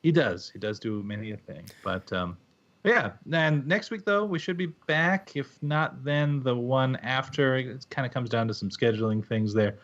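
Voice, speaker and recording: A man co-hosting a podcast, talking in a relaxed, hesitant way with plenty of ums. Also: mid-range voice, moderate pace, muffled recording. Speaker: podcast co-host. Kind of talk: casual podcast chat.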